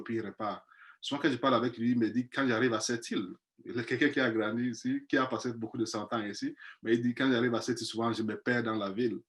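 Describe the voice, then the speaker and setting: A man of middle pitch shares his missionary experience over a video call. He speaks French as a second language, pausing often and restarting his words. His delivery is thoughtful and halting. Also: fairly bright tone. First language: not French; speaks five languages. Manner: hesitant, many restarts and repeated words. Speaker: missionary priest, panel guest. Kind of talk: personal testimony in a webinar.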